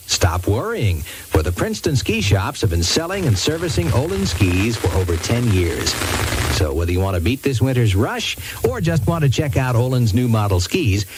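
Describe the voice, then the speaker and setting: A man's voice: mid-range voice, background noise. An American man working as a radio DJ, delivering 1980s music radio patter and ad reads on an old aircheck.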